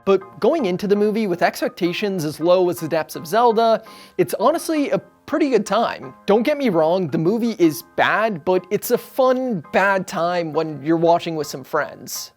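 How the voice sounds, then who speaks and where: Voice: mid-range voice, lively intonation, moderate pace. A narrator reading a scripted video essay in a casual, conversational way. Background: background music.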